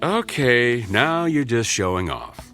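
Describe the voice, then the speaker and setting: Skeptical, sardonic male doctor, very animated delivery, radio drama with faint music. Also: medium pitch, slow pace, full character voice. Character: skeptical, dryly sarcastic senior male clinic doctor.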